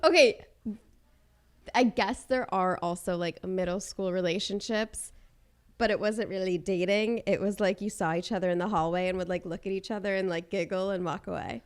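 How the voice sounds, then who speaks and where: A woman's voice: medium pitch, steady tone, normal speed. Upbeat woman podcast co-host. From Chicago. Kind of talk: casual chat.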